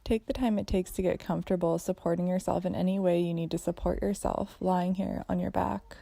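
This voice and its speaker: A woman teaching yoga and guided meditation in a soothing, measured way with deliberate phrasing. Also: on the low side, steady tone, vocal fry, warm tone.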